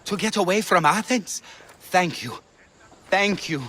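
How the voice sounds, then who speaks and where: An actor playing a timid, obedient low-ranking Athenian guard in a video game, speaking hesitantly. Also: male voice, measured pace, sharp tone, a little hiss or hum. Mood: relieved.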